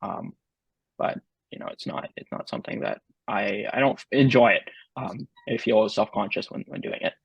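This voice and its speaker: A man speaking as an interview guest in a casual, halting way, full of ums and likes. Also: on the low side, gravelly, breathy edge, dry recording, dull audio. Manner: halting, filler-heavy, lots of "like" and "um". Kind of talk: casual conversation.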